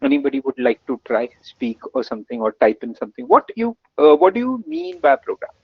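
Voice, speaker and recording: A man from Gujarat with an Indian English accent leads a live online lesson, his slightly husky, fairly high voice swinging widely as he coaxes and praises. The sound is dull and dry, like a webinar call. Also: fairly quick, no room sound. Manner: energetic, coaxing, lots of praise and repetition. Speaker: webinar instructor.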